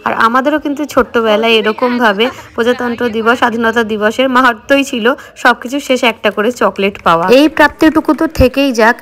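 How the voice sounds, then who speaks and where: A woman's voice: on the high side, fast talker, a bit bright. A woman narrating a school event as a voice-over for her vlog, speaking as a teacher.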